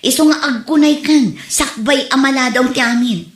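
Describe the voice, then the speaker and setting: A woman's voice: sits high, moderate pace, natural voice. A woman acting a concerned, plain-spoken older village woman who reproves and advises in a comedy drama. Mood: concerned advice. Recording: echoey room.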